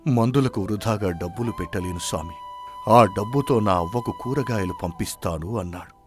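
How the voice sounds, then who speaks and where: Guy playing sad village healer in a moral story, solemn and earnest, lowish voice, soft music under it. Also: normal speed, close to an everyday voice. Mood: grave, sorrowful. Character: sorrowful village healer, grave bearer of hard truth. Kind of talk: melodramatic story acting.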